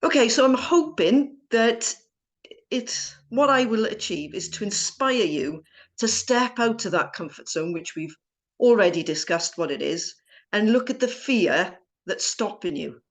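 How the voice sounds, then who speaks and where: A woman's voice: mid-range voice, animated, a little slow. A woman with a Welsh accent talks as a guest speaker in an online workshop. Her delivery is friendly and conversational, and she often checks in with her listeners as she tells her story. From Wales. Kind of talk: personal story talk.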